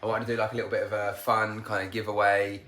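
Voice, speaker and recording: A man's voice: on the low side, level tone, fast, fairly bright tone. A man, chatting casually in a vlog, a bit congested from a sore throat, upbeat and rambling. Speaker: vlogger.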